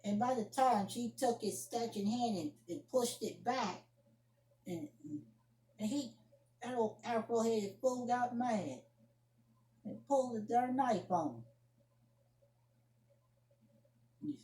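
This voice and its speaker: An indignant young woman argues her case in an amateur drama monologue, acted out by a woman. Her voice is fairly high yet soft-edged and mellow, in a room with clear echo. She scolds emphatically, repeating herself and restarting as she defends what she did. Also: measured pace, close to an everyday voice. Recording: echoey room.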